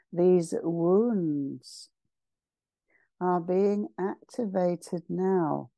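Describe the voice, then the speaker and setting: A woman leading a guided meditation as a channeled spiritual address, solemn and ceremonial, speaking in short measured phrases with pauses. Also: lowish voice, creaky, warm tone, dry recording.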